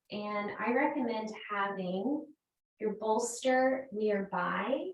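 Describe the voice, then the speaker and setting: A woman yoga teacher guiding a restorative class in a gentle, unhurried, invitational way. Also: mid-range voice. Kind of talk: slow guided restorative yoga instruction. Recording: roomy echo, distant microphone.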